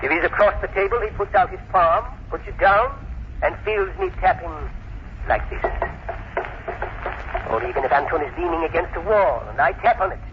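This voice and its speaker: A mad old professor played by a man with a high voice, speaking with pompous menace and cold condescension. He sounds like a grandiose radio drama villain bent on revenge, on a muffled old recording with faint noise. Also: moderate pace, close to an everyday voice. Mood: boastful. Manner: pompous, coldly calm, condescending. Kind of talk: 1940s radio drama acting.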